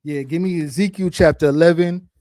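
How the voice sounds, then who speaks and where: Man teaching a study group, slightly high voice, dry close sound, repeats lines back slowly, keeps asking "right?". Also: fairly even intonation, mellow, no echo. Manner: keeps checking in with "right?" and "you feel what I'm saying?". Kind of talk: casual spoken teaching, read-along commentary. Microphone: streaming setup, close mic.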